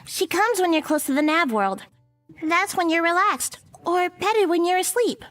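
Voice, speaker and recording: A woman's voice: very high-pitched, lively intonation, moderate pace, a bit bright, soft background music. A woman voicing a bossy, prim, teasing young witch girl in a visual novel game. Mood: calm, instructing.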